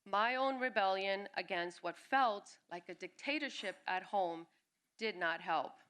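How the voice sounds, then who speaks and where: Bright-voiced woman reading her own essay. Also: medium pitch, unhurried, clear, resonant tone. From born in Cuba, raised in New York City. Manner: expressive reading, briefly acting out quoted family members. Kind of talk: reading a personal essay at a live storytelling event. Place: live reading at a music venue.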